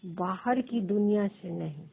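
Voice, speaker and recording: A woman leads a guided meditation as a teacher, pausing between short, measured phrases in a husky, creaky voice. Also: medium pitch. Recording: thin phone-like sound.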